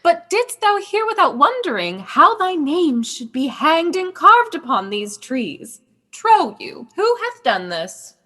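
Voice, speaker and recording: A woman's voice: high voice, very animated, takes it slowly, pushed and bright, heavily acted. A woman playing a merry, teasing young cousin and confidante in a Shakespearean radio play, playful and exclamatory. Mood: amused teasing.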